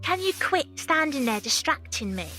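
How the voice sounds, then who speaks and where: A woman's voice: high-pitched, big pitch swings, normal speed, pushed and bright, soft background music, heavily acted. A woman playing a young, weary, plain-spoken circus runaway as narrator and lead of an audio drama. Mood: teasing.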